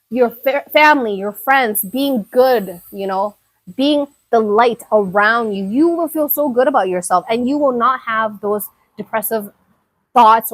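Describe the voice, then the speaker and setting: A young woman from Thailand talks casually on a live stream, reading her viewers' messages aloud and answering them with lots of fillers and repeated words. Her voice sits a little high, and the sound is close and dry with no room echo. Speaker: YouTuber reading viewer chat.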